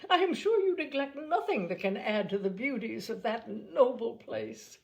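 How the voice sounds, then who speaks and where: A woman's voice: on the high side, animated, moderate pace, creaky, a little mellow, voice pushed up. A woman voicing a snobbish, spiteful young society lady in an audiobook, with an exaggerated, haughty, emphatic delivery that gushes and scorns. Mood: effusive, flattering. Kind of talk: audiobook character acting.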